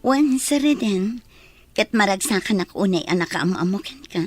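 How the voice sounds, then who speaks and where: A woman's voice: mid-range voice, lively intonation, moderate pace, darkish tone, dry recording, close to an everyday voice. A woman with an Ilocano accent playing a courteous, friendly business partner in a radio drama. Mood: polite, friendly.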